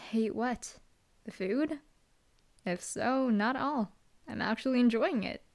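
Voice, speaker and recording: A woman's voice: on the high side, expressive, slow pace, a little mellow. A young woman voicing a dry, teasing female hellhound demon bodyguard in an audio roleplay. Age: young adult. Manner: dry, teasing, laid-back.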